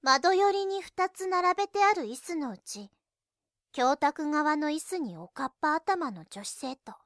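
A woman playing an earnest, reserved high school girl who narrates her own story in a youth voice drama. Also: lively intonation, unhurried, a bit bright, dry recording, clean studio sound, full character voice. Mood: calm, descriptive. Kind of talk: scripted narration in an anime-style voice drama.

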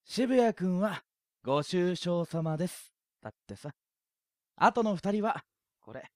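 A woman playing an easygoing, teasing high school boy in an audio drama, with a light, self-deprecating, joking way of talking. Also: lively intonation, a little slow, a little mellow, no echo, clean studio sound. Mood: wry. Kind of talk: anime-like Japanese audio drama acting.

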